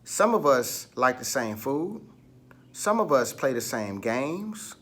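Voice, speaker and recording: A man's voice: mid-range voice, very animated, slow, fairly bright tone. A man reading and retelling stories for children, speaking to them directly and asking them questions. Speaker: children's story reader. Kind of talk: storytelling read-aloud for children.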